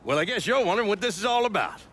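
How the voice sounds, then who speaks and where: Animated, folksy mob boss. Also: male voice, high voice, racing, a little hiss or hum. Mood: irritated. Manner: folksy, profane, salesman-like. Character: gregarious, ruthless, foul-mouthed older male mob boss. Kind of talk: cinematic video game dialogue. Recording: music behind the voice.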